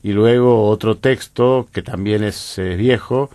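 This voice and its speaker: Soft-spoken Uruguayan man, low dry voice. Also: measured pace, dry recording. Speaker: guest poet. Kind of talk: radio interview conversation. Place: radio studio.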